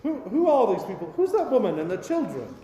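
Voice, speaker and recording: An actor playing a humbled, fearful old miser in a radio play, halting and theatrical. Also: male voice, sits high, wildly swooping pitch, dark tone, highs cut off. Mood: humbled, curious. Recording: echoey, reverberant hall.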